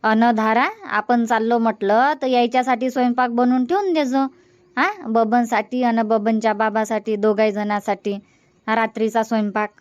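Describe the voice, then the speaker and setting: A woman's voice: a little high, steady tone, moderate pace, no room sound, lo-fi sound, close to an everyday voice. A woman voicing a homely older village mother-in-law in a cartoon comedy, chatty and instructing, with a Varhadi Marathi accent. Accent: Varhadi Marathi from Vidarbha. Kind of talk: amateur cartoon comedy dubbing in village dialect.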